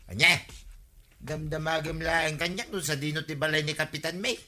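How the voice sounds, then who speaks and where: A blunt village neighbour in Ilocano radio comedy. He has a very sharp, forceful tone, a slightly high pitch and an Ilocano accent. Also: normal speed. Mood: indignant. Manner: blunt, protesting. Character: indignant stingy male village neighbour.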